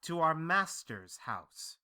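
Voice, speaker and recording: A humble male servant played by a man in an audio drama. He is polite, plain-spoken and comically flustered, with a bright, forceful tone and very lively pitch movement. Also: slow, smooth, heavily acted. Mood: cheerful, proud. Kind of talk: audio drama acting of modernized Shakespeare.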